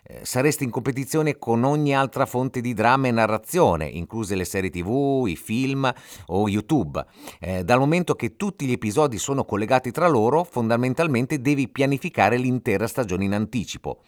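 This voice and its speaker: Man, podcast host, scripted instructional delivery, animated intonation, dry recording. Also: mid-range voice, moderate pace, no room sound. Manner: didactic, addresses listener directly. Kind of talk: scripted explanatory narration.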